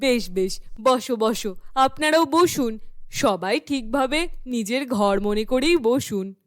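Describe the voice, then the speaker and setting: A woman's voice: fairly high pitch, animated, slow, no room sound, clean studio sound. Fussy middle-aged cartoon village mother. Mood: welcoming, eager. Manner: scolding, loud, fussy. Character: nagging, exasperated middle-aged village mother of a grown daughter. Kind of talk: animated cartoon acting.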